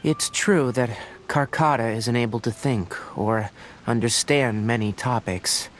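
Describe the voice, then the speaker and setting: A man playing a calm, scholarly young male forest ranger in a video game, explaining things in a measured way. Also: mid-range voice, slight background noise, natural voice. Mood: gentle, explanatory.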